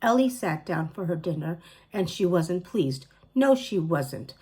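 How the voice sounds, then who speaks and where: A woman teacher reading a children's chapter book aloud, clear and expressive. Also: fairly low pitch, a little slow. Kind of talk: storybook read-aloud.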